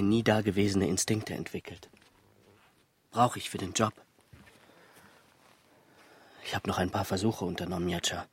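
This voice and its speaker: A man's voice: sits low, a little hiss or hum, lightly acted. An actor playing a brooding, restless man in a literary radio drama, telling his story in terse, clipped fragments. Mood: brooding, weary.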